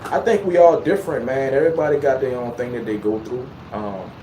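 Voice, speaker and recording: A man's voice: medium pitch, brisk, dark tone, roomy sound, slight background noise, poor audio. A man from Louisiana with a light Louisiana accent, chatting casually on a livestream and answering viewer questions in a rambling, laid-back way full of fillers.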